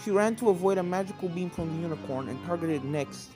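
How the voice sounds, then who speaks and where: A woman's voice: lowish voice, lively intonation, fast, over music. A woman reading a scripted story aloud as its narrator. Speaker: fan fiction reader, narrator.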